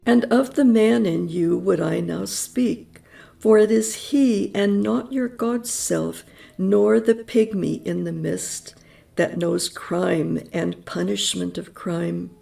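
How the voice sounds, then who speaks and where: A woman reading prose poetry aloud in a slow, solemn, reverent manner, with measured phrasing and long pauses. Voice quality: gravelly, low and mellow. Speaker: audiobook reader. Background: soft music.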